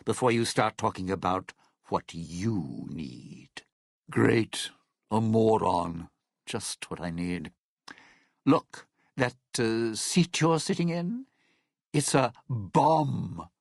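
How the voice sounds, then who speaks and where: Sly cynical showman, video game character, animated pitch swings, unhurried, wry menace, dry close sound. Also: no echo, exaggerated voice. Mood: sardonic threat, then tense, wary. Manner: wry, sardonic, smooth-talking menace. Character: cynical, threatening male lounge entertainer, sly post-apocalyptic survivor.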